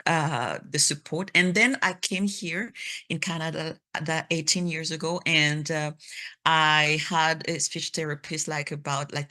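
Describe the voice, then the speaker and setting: A woman in her thirties from Cameroon speaks English with a frequent stutter, breaking on first sounds and starting over. Her voice sits a little low, yet it comes across bright and forceful. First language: French. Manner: stutters with repeated sounds and restarts. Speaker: speaker sharing her story. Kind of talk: personal testimony talk.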